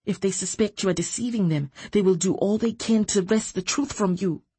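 A narrator, a woman, plays a bold protective woman in a fantasy novel. Her voice is husky, earnest and formal, recorded dry. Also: medium pitch, fairly quick, warm tone, dry recording. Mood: urgent, hushed. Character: bold, protective woman defying armed soldiers.

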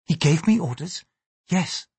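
A man's voice: fairly high pitch, lively intonation, unhurried, darkish tone, breathy edge, dry recording, voice pushed up, heavily acted. A man reading aloud, voicing a poised young Japanese noblewoman with a formal, archaic way of speaking. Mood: earnest. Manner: formal, archaic thee-and-thou speech, apologetic "so sorry".

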